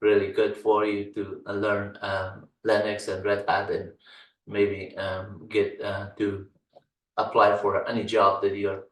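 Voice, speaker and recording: A man from Afghanistan, a native Dari speaker, talks through a computer tutorial in a halting way, filling pauses and listing items one by one. His voice sits fairly low and stays flat. The mic is some way off in a room with noticeable echo. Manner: halting, many fillers, lists items slowly. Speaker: channel host and tech instructor. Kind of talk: casual screen-recorded tutorial talk. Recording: roomy echo, mic at a distance.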